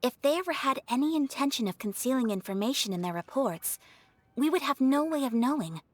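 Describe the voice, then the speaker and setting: An actress playing a calm, thoughtful young noblewoman who speaks in a measured, formal way. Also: high-pitched, no room sound. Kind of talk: game cutscene dialogue.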